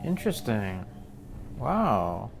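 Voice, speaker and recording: Male reaction host, slow-paced yet animated intonation, informal slangy asides, loud music behind. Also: fairly low pitch, creaky. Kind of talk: casual reaction commentary.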